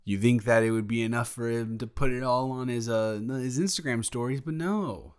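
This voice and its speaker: A man playing a sheepish, protective best friend in an improvised audio roleplay, talking in a halting, rambling, awkwardly candid way. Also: medium pitch, clear, resonant tone, close to an everyday voice. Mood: nervous, wry. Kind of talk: improvised audio roleplay, intimate conversational monologue.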